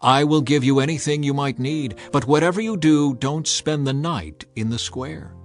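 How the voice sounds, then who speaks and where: A man's voice: a little high, normal speed, voice pushed up, lightly acted. Man playing an earnest, protective old man, over music. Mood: welcoming, warning. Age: older. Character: hospitable old man, protective, desperately pleading. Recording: music bed under the voice.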